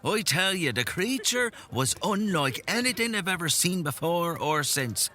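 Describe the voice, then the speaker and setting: Frazzled old village tale-teller, folksy n insistent, sharp bright voice, fantasy audio drama. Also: male voice, high-pitched, big pitch swings, measured pace, exaggerated voice. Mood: earnest, awed. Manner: folksy, insistent, old-fashioned turns of phrase.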